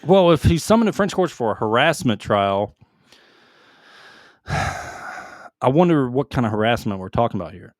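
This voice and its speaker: A man co-hosting a casual gaming podcast, reading headlines aloud with quick asides and stumbling over foreign names. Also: medium pitch, sing-song, no echo, clean studio sound. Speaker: podcast co-host reading news.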